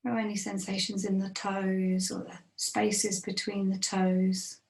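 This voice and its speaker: A woman meditation teacher leading a guided body scan in a gentle, unhurried manner with long pauses. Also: mid-range voice, level tone, creaky. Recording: roomy, echoing sound.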